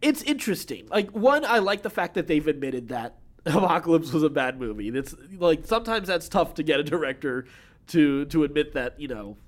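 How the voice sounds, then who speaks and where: A man's voice: on the high side, big pitch swings, moderate pace. A man co-hosting a podcast, chatting casually about film news. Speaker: podcast co-host.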